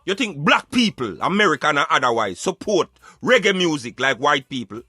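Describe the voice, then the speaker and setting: Bright, forceful Jamaican man, emphatic. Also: on the high side, big pitch swings, normal speed, breathy edge, dry recording, studio quality, soft background music. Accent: Jamaican Patois. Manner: emphatic, preaching, argumentative. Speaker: radio show host. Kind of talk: opinionated talk show commentary.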